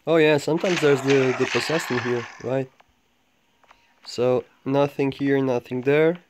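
A man giving low-key, casual gameplay commentary, thinking aloud in short fragments as he guides viewers. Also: steady tone, a little slow. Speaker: gamer making a walkthrough guide. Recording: clearly noisy home recording with clicks.

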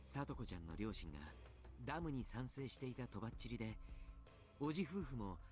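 Male actor as troubled doctor, visual novel drama, polite, soft-spoken, lively intonation, brisk delivery. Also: mid-range voice, soft background music, natural voice. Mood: grave, polite. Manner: polite, measured, choosing words carefully. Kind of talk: Japanese visual novel voice acting.

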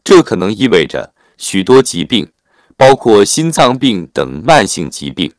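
A man narrating a written article in a formal, measured read-aloud manner. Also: fairly low pitch, big pitch swings, dry recording, clean studio sound.

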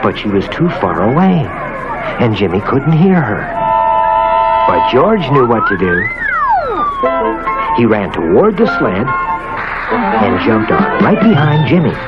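Animated male storyteller over loud music, lo-fi. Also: mid-range voice, a little slow, long pauses. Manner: expressive storytelling, acts out the characters' quotes. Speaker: narrator. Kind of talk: scripted children's story narration. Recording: dull lo-fi old cartoon soundtrack, loud music.

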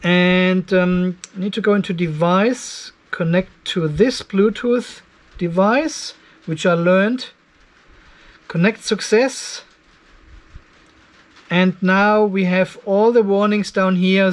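An older man with a noticeably high voice talks through a hands-on tech demonstration. His delivery is informal and conversational, as if thinking aloud while he checks readings for viewers. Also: steady tone, slow pace. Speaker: host of an off-grid battery testing channel. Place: cluttered indoor garage workshop.

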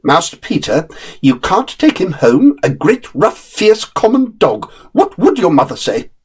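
A man's voice: high voice, sing-song, measured pace, darkish tone, breathy edge, voice pushed up, heavily acted. A man doing a prim, scolding nurse. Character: disapproving, fussy woman nurse.

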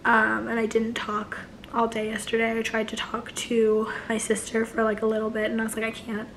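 A young woman in her twenties with a hoarse, failing voice, chatting casually to camera from her couch, rambling with many restarts. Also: on the high side, monotone, moderate pace, fairly bright tone, slight background noise, low-quality recording. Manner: rambling, many likes and restarts, gestures a lot. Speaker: vlogger. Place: living room couch, indoors.

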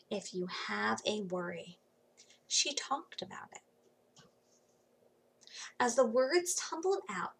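A woman reads a picture book to young children at a very slow, careful pace. Her voice sits fairly high and has a slight creak. She uses dramatic pauses and hushed words for emphasis, recorded at home on a computer microphone. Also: low-quality recording. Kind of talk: expressive read-aloud of a children's storybook. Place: small home room, indoors. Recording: faint background noise.

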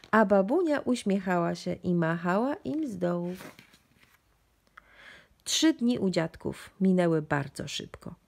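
A woman's voice: medium pitch, gravelly, darkish tone. A woman narrating a bedtime storybook expressively for young children.

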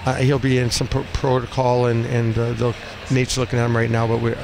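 A man in his fifties, a hockey coach speaking off the cuff in a postgame interview, blunt and halting, often repeating phrases. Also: medium pitch, creaky. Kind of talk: postgame interview, unscripted talk. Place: small cinder-block room indoors at a rink. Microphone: headset boom mic. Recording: noisy arena room, steady background din.

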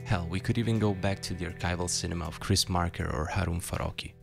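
Very deep-voiced man narrating over music. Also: steady tone, fast talker, vocal fry. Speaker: video essayist narrator. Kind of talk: scripted video essay narration. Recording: music behind the voice.

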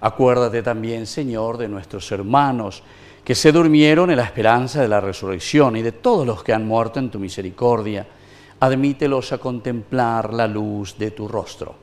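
A middle-aged man from Paraguay, a priest reciting the prayers of Mass in a measured, solemn way in a small wood-paneled chapel. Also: mid-range voice, darkish tone.

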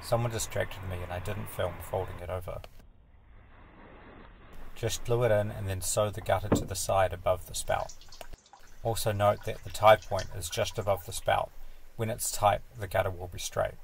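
Calm low-voiced man with gravelly tone. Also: moderate pace, background noise. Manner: calm, matter-of-fact explaining. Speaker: sailing vlogger. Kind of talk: scripted voice-over narration.

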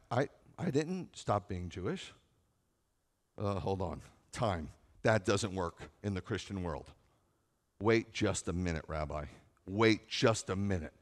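Animated American male preacher in a hall. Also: on the low side. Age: middle-aged. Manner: conversational, wry, emphatic with repetition and rhetorical questions. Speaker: rabbi preaching to a congregation. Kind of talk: sermon. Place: large sanctuary, at a pulpit before seated listeners. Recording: reverberant hall sound.

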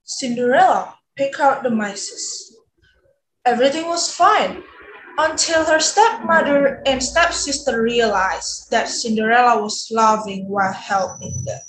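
A woman's voice: on the high side, lively intonation, a little slow, fairly bright tone, a little hiss or hum. A young woman narrating a storybook tale from a script. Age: young adult. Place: indoors on a video call. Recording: roomy echo, background music.

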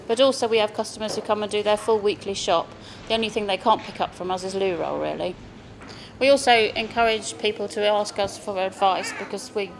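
A middle-aged woman who co-owns a farm shop, speaking in a brisk, matter-of-fact way in a promotional interview, recorded indoors in the shop. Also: medium pitch.

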